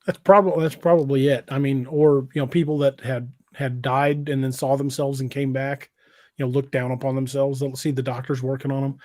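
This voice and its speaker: An American man co-hosting a casual livestream, talking in a measured, somewhat hesitant way. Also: medium pitch, flat delivery, darkish tone, dry recording, clean studio sound. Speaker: podcast co-host. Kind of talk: casual livestream chat, reading viewer comments.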